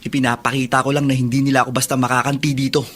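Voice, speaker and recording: A man's voice: medium pitch, level tone, very fast, a bit bright, close to an everyday voice. Man acting a hurt, defiant young Filipino prisoner. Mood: defensive. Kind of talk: Filipino radio drama acting.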